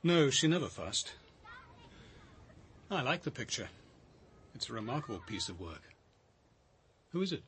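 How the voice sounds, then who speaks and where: An actor playing a thoughtful, reserved detective in a radio drama, speaking politely and with understatement in a British accent. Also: male voice, medium pitch, very animated, a little slow, pauses often, slight background noise. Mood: composed, tactful. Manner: polite, understated, gently hesitant.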